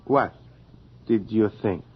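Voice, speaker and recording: An actor playing a merciless, zealous vampire slayer, commanding and declamatory, in a radio horror drama. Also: male voice, lowish voice, takes it slowly, breathy edge. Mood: grim. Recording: muffled radio recording, crowd behind.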